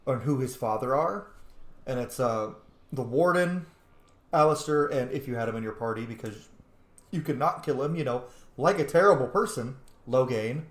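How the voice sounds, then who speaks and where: A man's voice: mid-range voice, lively intonation, moderate pace. A man giving casual, enthusiastic, informal commentary as he plays through a game. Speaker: gaming host doing a roleplay playthrough. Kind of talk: casual play-by-play commentary with fan lore asides. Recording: echoey room.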